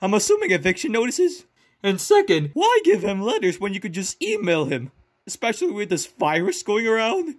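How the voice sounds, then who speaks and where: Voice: medium pitch, moderate pace. A performer voicing a chatty, exasperated Christmas elf who tells a tale with wry asides in a cartoon comic dub. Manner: animated, wry, digressing into asides. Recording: music laid under voice.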